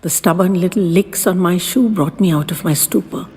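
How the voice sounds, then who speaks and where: A woman reading sentimental short stories as a storyteller, in a soft, expressive, dramatic voice with lingering pauses. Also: lowish voice, breathy edge. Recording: long hall reverb, music behind.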